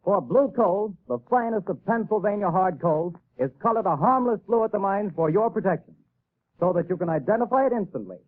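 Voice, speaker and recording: A man announcing a 1930s radio show and its sponsor in a booming, formal delivery. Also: expressive, a little fast. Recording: tinny old radio broadcast.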